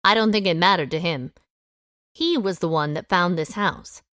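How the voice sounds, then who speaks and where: A woman's voice: on the low side, moderate pace, fairly bright tone, no echo, clean studio sound, natural voice. An actress playing a shrewd, world-weary 1920s woman sleuth in an audio drama, knowing and matter-of-fact. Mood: shrewd, accusing. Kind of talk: audio drama dialogue and first-person narration.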